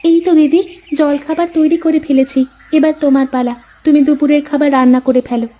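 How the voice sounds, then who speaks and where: A woman's voice: high-pitched, fairly even intonation, fairly quick, mellow, lightly acted. A woman voicing a dutiful, worried young village co-wife in a cartoon fairy tale, earnest and pleading.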